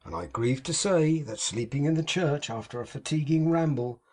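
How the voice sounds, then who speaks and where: A man's voice: a little high, normal speed, darkish tone, lightly acted. Man putting on a pompous sanctimonious hypocrite. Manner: pompous, sanctimonious, grandly theatrical. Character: pompous hypocritical middle-aged male architect.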